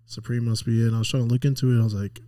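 A young American man with a dark, mellow, relaxed voice, telling anecdotes in casual conversation. He speaks in loose slang with some swearing, recorded very dry. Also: medium pitch, very fast, dry recording. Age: young adult. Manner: slangy, swearing, hesitant restarts with many "like"s.

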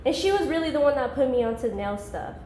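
Young woman, relaxed rambling chat. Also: sits high, quick pace, roomy sound, slight background noise. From Georgia, US. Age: 20s. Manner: laid-back, rambling, lots of "like". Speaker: podcast guest. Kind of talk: casual podcast chat.

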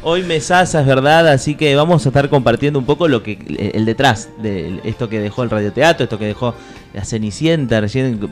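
A man's voice: mid-range voice, a little fast. Argentine man hosting, faint music behind. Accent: Rioplatense Argentine. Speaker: host of a comic showbiz segment. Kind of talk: mock gossip-show banter.

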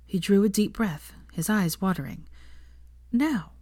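A woman's voice: mid-range voice, moderate pace, warm tone, no room sound, clean studio sound. A woman reading a story aloud as narrator, in scripted audiobook style. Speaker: fan fiction narrator.

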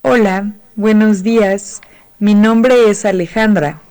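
A woman from Mexico introducing herself slowly and carefully for learners. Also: medium pitch, steady tone, dark tone, no echo. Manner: slow, careful self-introduction.

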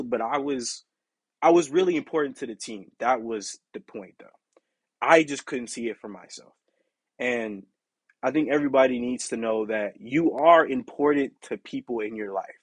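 A young man with a deep, raspy voice hosting his own podcast, talking in a candid, rambling way with lots of "you know" and "like". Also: normal speed, no room sound. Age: young adult. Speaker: podcast host. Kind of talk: casual solo podcast monologue.

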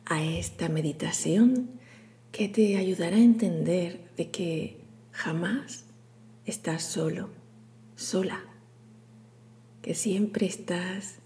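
A woman leading a guided meditation in a soothing, hushed, breathy delivery with long pauses. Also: lowish voice, slight background noise. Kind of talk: guided meditation, scripted narration.

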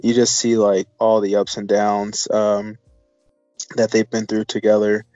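A man co-hosting a casual film chat, rambling and halting as he repeats his phrases. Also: lowish voice, monotone, warm tone, no echo. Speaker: movie review co-host. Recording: dull lo-fi audio with faint music. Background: faint music.

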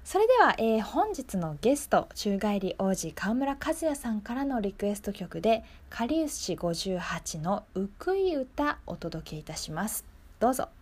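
A woman's voice: medium pitch, very animated, normal speed, a little mellow, breathy edge. A woman hosting a radio show in a casual, polite, chatty way, explaining things off the cuff.

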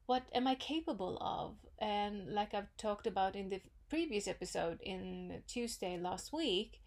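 A woman with a Norwegian accent hosts a solo podcast into a microphone. She speaks slowly at a mid pitch, musing over her ideas with frequent pauses and small fillers. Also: lively intonation, gravelly, darkish tone. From Norway. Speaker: podcast host. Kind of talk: solo reflective podcast talk.

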